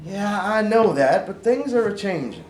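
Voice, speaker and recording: In a roomy, echoing space, a man plays a gruff but decent Civil War sergeant in a stage reading. He speaks in plain folksy phrases, on the high side for a man and with lively rises and falls. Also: moderate pace. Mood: weary. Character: gruff but fair male farmer turned Confederate sergeant. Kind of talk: amateur staged play reading. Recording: roomy, distant, faint background noise.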